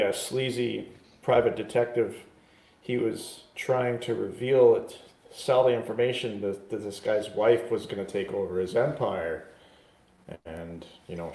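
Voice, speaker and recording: A man in a casual film discussion, speaking in a halting, self-correcting way in a roomy space. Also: lowish voice, darkish tone. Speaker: film review show panelist. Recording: roomy echo with faint noise.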